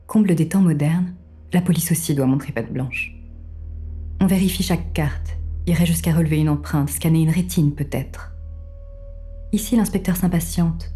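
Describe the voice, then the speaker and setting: Casting: actress as detached female narrator for dark literary audio fiction; low-pitched, dusky tone, formal and dryly ironic. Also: lightly acted.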